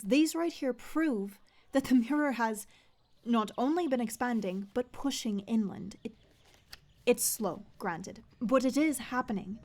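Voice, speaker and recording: A woman's voice: on the high side, a little mellow. A woman playing a stubborn, sardonic blacksmith's daughter in a fantasy audio roleplay, wry and casual in manner.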